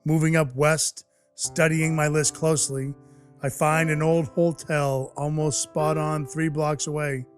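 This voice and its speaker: A man's voice: on the high side, level tone, unhurried, soft background music, lightly acted. A man playing a brash, wisecracking dwarf who is Santa's helper, narrating and acting in an audio play with blunt, wry phrasing. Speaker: narrator and lead character.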